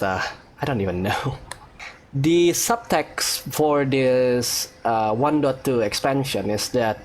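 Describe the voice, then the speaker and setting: A video game player gives casual commentary while playing. The mid-pitched voice moves around in a lively way, musing and reacting with some hesitation. There is faint background noise and game ambience.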